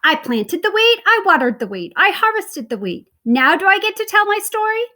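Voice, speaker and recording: Woman doing a shrill complaining little hen. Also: big pitch swings, normal speed, sharp tone, full character voice. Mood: insistent. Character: fussy indignant little red hen.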